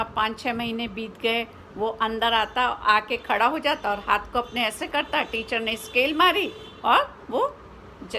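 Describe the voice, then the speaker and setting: A woman's voice: on the high side, pushed and bright, slight background noise. A woman with an Indian accent telling a children's story informally, explaining as she goes with rhetorical questions and mixed-in English words.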